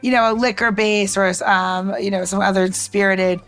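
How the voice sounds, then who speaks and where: A woman gives a casual drink-making demonstration over music. She is chatty and rambles along, dropping in playful asides as she goes. Her pitch sits in an ordinary middle range. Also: fairly even intonation, normal speed, smooth. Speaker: co-host and bartender. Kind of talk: casual cocktail recipe demonstration.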